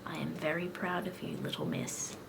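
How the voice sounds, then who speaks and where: A woman reading the lines of a gentle, caring male doctor in a soft, low, soothing tone. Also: fairly even intonation, moderate pace, noisy background, lightly acted.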